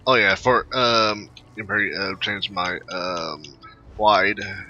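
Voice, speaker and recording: Halting man, bright forceful voice, muffled mic. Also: fairly low pitch, steady tone. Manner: halting, rambling. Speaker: gameplay video host. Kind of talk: casual game commentary. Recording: muffled home mic with faint noise.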